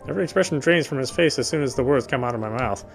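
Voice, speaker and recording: A man's voice: mid-range voice, rapid-fire, creaky, faint music behind. Male narrator reading a horror visual novel. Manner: steady reading with frequent restarts of words.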